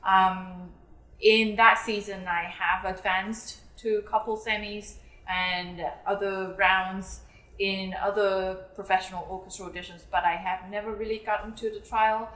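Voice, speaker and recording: A young woman who was born in South Korea and grew up in England, speaking thoughtfully about her work as an orchestral bassist in an interview. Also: level tone, a little slow, fairly bright tone. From South Korea, raised in England. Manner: thoughtful, earnest, with fillers. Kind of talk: interview talk. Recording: roomy echo.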